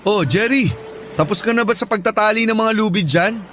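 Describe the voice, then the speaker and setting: Guy doing caring filipino sailor buddy in a drama, quite high voice, easygoing, light music behind. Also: fairly quick, a bit bright. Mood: friendly. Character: caring, generous male Filipino shipmate and buddy. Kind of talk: Filipino audio drama acting.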